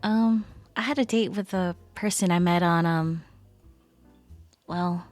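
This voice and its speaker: A woman playing a chatty, teasing female best friend in casual, animated roleplay audio. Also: on the low side, slow pace, no echo, faint music behind. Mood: exasperated, chatty.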